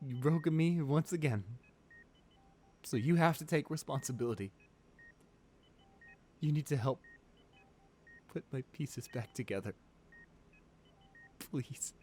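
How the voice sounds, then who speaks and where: A man acts out a ruthless man undone by love in a romantic audio roleplay. He speaks intimately and haltingly at a bedside, full of raw emotion and devotion. His pitch sits in a middle range. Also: dark tone, a little hiss or hum, lightly acted. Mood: broken pleading.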